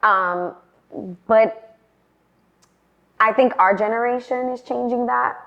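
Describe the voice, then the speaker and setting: A woman's voice: fairly high pitch, slow, creaky, lo-fi sound. A woman in her late twenties from the Bronx with a New York accent, chatting as an interview guest and filling her talk with "like" and "you know". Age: late 20s. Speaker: guest, fashion influencer. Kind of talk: casual interview conversation.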